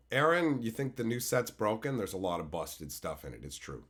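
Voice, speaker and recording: A man, hoarse from a cold, casually chatting with his live-stream viewers and swearing freely. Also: lowish voice, sing-song, unhurried, fairly bright tone. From Canada. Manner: loose, swears freely, answers chat by name. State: hoarse from being sick. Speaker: live streamer reading chat.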